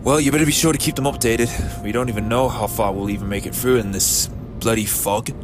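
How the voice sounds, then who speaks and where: A man's voice: medium pitch, fairly even intonation, a little fast, over music, natural voice. A man playing a flustered, easily panicked airline co-pilot in an audio drama. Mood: alarmed.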